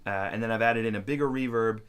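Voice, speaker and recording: A man's voice: on the low side, quick pace, a bit bright, smooth. A man talking casually as he demonstrates guitar gear in a tutorial.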